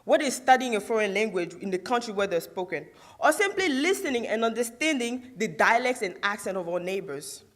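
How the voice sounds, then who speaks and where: A woman's voice: fairly high pitch, animated, brisk, few pauses. A young woman from Côte d'Ivoire whose first language is Guro, giving a prepared competition speech on a theatre stage and putting questions to the audience. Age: young adult. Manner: rhetorical, asks the audience questions. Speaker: student orator.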